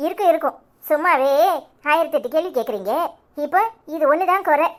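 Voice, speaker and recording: A woman voicing a sulky, sharp-tongued village wife and mother in a cartoon comedy, scolding sarcastically with a Kanyakumari Tamil accent. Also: sits high, lively intonation, a little fast.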